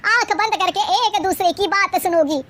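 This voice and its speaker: A commanding mother-in-law bosses her household around, as a woman voices her. The voice is very high and sharply bright, with dramatic cartoon scolding. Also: moderate pace. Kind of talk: cartoon voice acting.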